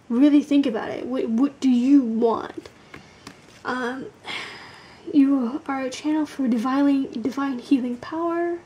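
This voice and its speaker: A woman doing a casual, blunt tarot card reading, tired, with a gravelly, sleepy voice, swearing casually and using lots of fillers. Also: high-pitched, warm tone, slight background noise.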